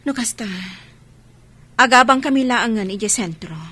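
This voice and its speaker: A woman playing a heartbroken, gentle young mother in an Ilocano audio drama, speaking in a sorrowful, pleading way. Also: on the high side, a little slow. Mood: firm, hurt. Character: heartbroken, gentle young mother leaving her marital home.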